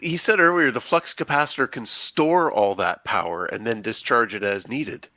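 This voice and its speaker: A man's voice: medium pitch, moderate pace, pushed and bright, no echo. A man chatting casually at a script read, pointing out details and arguing his case. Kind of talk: casual chat during a table read.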